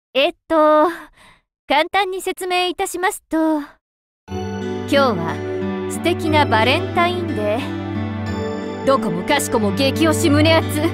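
High-voiced gushing crane-spirit lady. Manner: polite, theatrical, fangirlish, breathless outbursts. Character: gushing, refined crane-spirit seamstress woman, devoted and excitable. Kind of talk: Japanese game voice acting. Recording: background music under clean studio voice.

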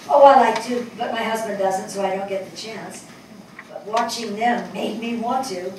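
An older woman acting a thoughtful writer in a stage play reading, telling her story with expressive, wistful, deliberate phrasing. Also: mid-range voice, lightly acted. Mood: interested. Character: thoughtful, attentive New York woman writer. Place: on stage in a theatre before an audience. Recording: distant mic, echoey hall, audible noise.

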